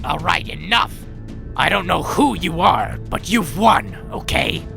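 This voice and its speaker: An actor playing a gruff, urgent robot medic with a gravelly voice in a sci-fi audio drama. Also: male voice, high voice, lively intonation, measured pace, pushed and bright, faint music behind, full character voice. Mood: grim.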